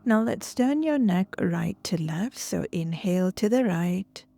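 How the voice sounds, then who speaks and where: A woman leading guided relaxation and breathwork as a yoga instructor, gentle and soothing, often trailing off into a breathy near-whisper. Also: lowish voice, lively intonation, a little slow. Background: soft music.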